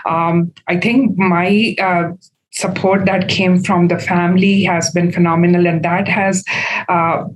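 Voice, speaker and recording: A middle-aged woman from Pakistan, a community leader answering interview questions thoughtfully with frequent pauses. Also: lowish voice, fairly even intonation, a little hiss or hum, rough recording.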